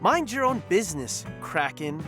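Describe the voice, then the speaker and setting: An actress playing a flustered, prickly crewmate hiding a crush in an audio drama. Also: female voice, low-pitched, very animated, normal speed, over music.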